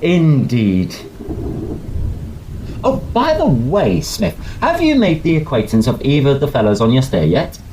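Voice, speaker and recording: A man's voice: mid-range voice, sing-song, measured pace, dark tone, a little hiss or hum, low-quality recording. A man playing a hearty, gossipy young student oarsman in a Victorian audio drama, speaking emphatically in clipped British English. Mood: confiding, disdainful. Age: young adult.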